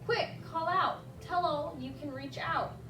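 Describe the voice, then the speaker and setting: High-voiced woman reading to children, roomy sound. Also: a little slow, a little hiss or hum. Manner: expressive, addresses children directly with simple questions. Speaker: children's librarian reading a picture book at story time. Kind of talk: read-aloud rhyming children's story with asides to young listeners. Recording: roomy echo, distant mic, music behind.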